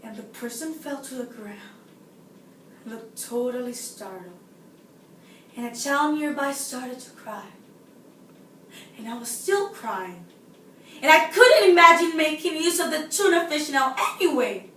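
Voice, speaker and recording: A seventeen-year-old woman from Nicaragua playing a frustrated, self-absorbed, unhinged woman in a dramatic, confiding stage monologue that swings between hushed and shouted, recorded in a roomy space at a distance. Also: sits high, expressive, measured pace, pauses often, heavily acted. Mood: distraught. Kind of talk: theatrical audition-style stage monologue. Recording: roomy, distant mic, faint noise.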